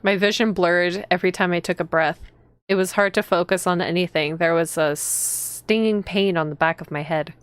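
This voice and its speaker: Young noblewoman heroine, read by a female streamer, dramatic and shaken visual novel narration, lowish clear voice. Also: fairly even intonation, moderate pace, dry recording, clean studio sound, close to an everyday voice. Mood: dazed. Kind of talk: dramatic first-person visual novel reading.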